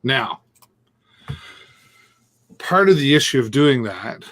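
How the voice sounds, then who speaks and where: A man's voice: medium pitch, animated, slow pace, fairly bright tone. Man walking through a streaming setup. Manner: explains tech step by step, chuckles, trails off. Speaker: co-host demonstrating streaming setup. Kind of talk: casual live-stream chat. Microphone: Bluetooth earpiece.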